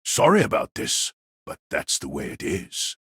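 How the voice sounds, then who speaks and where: A man playing a stern, commanding elite warrior in an audio drama, curt and husky. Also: rumbling low, huge pitch swings, a little slow, fairly bright tone, no room sound, full character voice. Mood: firm, apologetic. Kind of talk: audio drama character acting.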